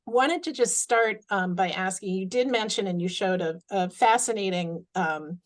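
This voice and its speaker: A woman's voice: medium pitch, moderate pace. A woman hosting a webinar on a video call, thanking the speaker and moving to audience questions with frequent ums and restarts. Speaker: webinar host. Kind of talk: webinar moderation.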